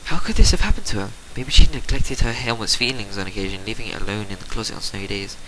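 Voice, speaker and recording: A man reading fan fiction as a dramatic narration, theatrical and swinging between hushed and forceful, on an amateur home recording. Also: on the low side, fast talker, a little hiss or hum, low-quality recording. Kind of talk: dramatic storybook-style narration.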